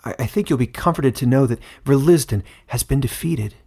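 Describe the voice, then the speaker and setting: Man voicing an earnest, husky-voiced boy hero. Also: mid-range voice, brisk, a little mellow, voice pushed up. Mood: hesitant. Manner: hesitant, sincere. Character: earnest, humble boy hero of fantasy tale. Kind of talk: fantasy audiobook character voice.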